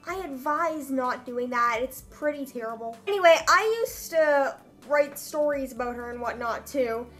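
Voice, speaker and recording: A woman's voice: high voice, animated, normal speed, a bit bright, faint music behind. A young woman chatting casually in a comedic vlog, with wry, self-mocking asides. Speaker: vlogger. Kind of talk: casual comedic vlog chat, reading own old writing.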